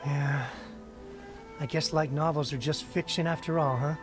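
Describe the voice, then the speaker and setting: Man acting a high-pitched, musing townsman. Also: steady tone, moderate pace, close to an everyday voice. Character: ordinary townsman, mildly disillusioned novel reader. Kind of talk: video game character dialogue. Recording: music behind.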